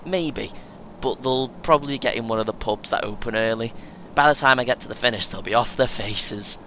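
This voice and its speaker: A man's voice: a little fast, bright, forceful tone, natural voice. A man playing a cheeky, chatty British runner in his twenties in an audio play, with a casual, laddish manner. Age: young adult. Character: cheeky, chatty young male marathon runner, 23. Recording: clear vehicle rumble noise. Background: vehicle ambience.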